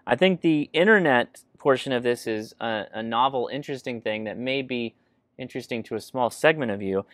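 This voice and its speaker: A man's voice: medium pitch, brisk, a bit bright, dry recording. A man giving a casual hands-on product review, informally thinking aloud as he goes. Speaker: host of a radio gear review channel. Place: indoors at a desk.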